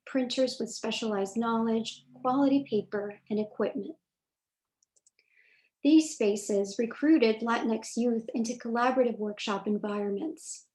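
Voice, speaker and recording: A woman moderating a virtual talk, reading a scripted introduction in measured, formal phrasing over a video call. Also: on the high side, darkish tone.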